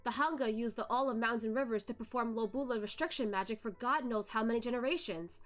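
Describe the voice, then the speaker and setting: A woman voicing a sharp-witted, teasing young male investigator who questions pointedly. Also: a little high, fast, faint music behind, lightly acted. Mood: reasoning.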